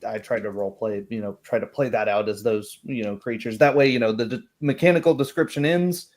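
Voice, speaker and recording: A man's voice: medium pitch, fairly quick, a little mellow. A man talking casually as a game master after a session, thinking aloud in a rambling way. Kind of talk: casual post-game discussion.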